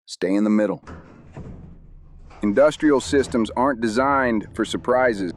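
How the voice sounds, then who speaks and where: Sex: man. Figure: a man doing a terse, composed community leader. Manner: clipped, commanding. Pitch: mid for a man. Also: measured pace, a little hiss or hum, lightly acted. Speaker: council leader. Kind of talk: noir audio drama dialogue.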